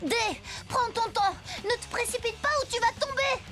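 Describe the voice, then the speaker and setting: A woman voicing an eager young boy who leads the robots, giving quick, bossy commands. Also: extremely high pitch. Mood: commanding. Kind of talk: French cartoon dub. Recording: music under the dialogue.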